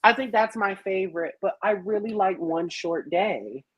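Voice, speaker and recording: A woman's voice: medium pitch, moderate pace, husky, no room sound, dull audio. A woman chatting casually as a podcast panelist about a film, slangy, profane and given to theatrical retellings. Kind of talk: casual animated chat.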